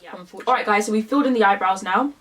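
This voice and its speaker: Young woman, London accent, chatty beauty vlogger, mid pitch, slightly distant mic. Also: rapid-fire, a bit bright. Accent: London English. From England. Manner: casual, chatty, many fillers. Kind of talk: makeup tutorial chat.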